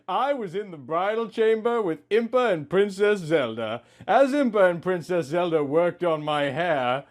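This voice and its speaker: A man's voice: high-pitched, animated, unhurried. A young man reading a story aloud in a theatrical, mock-serious way with exaggerated emphasis. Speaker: host reading amateur fan fiction.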